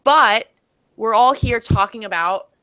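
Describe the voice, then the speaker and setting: Woman, Long Island accent, teacher, bright forceful voice, enthusiastic, rambling, video call. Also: fairly high pitch, measured pace, no room sound, rough recording. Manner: enthusiastic, rambling, lots of fillers. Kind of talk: casual video call chat. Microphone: video call from home.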